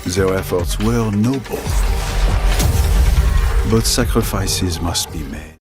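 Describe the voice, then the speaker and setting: Very deep, slow, calculating villain. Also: male voice. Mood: cold, grandiose. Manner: measured, ominous, deliberate. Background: loud music.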